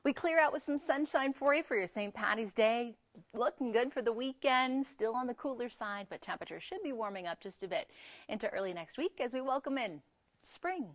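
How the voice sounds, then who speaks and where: A woman delivering a TV weather forecast with a bright, sing-song delivery. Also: a little high, expressive, brisk. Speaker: meteorologist.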